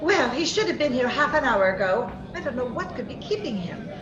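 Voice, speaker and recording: A woman's voice: sits high, big pitch swings, fairly quick, music in the background. A woman playing a scheming, coaxing woman who poses as a poisoner gangster, with breezy melodramatic patter in a 1940s-style radio comedy. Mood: flustered. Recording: roomy, slightly dull video-call recording.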